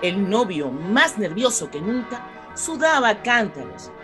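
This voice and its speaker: A woman's voice: mid-range voice, very animated, unhurried, music in the background. A woman with a Venezuelan accent narrating a melodramatic radio soap, telling the story dramatically. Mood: storytelling.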